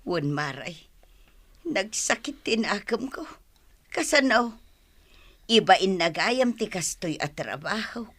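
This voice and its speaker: A woman's voice: mid-range voice, big pitch swings, slow, pauses often, a bit bright, heavily acted. A woman playing a hardworking, aggrieved village wife who sells snacks in a radio drama, emphatic and plaintive, with a northern Philippine accent. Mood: hurt.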